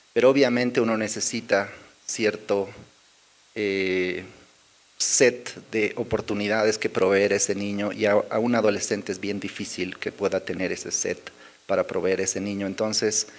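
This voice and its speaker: A man with a Bolivian accent presenting research charts at a conference, with many pauses, fillers and little tag questions. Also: on the low side. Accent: Bolivian Spanish. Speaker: conference speaker. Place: conference hall.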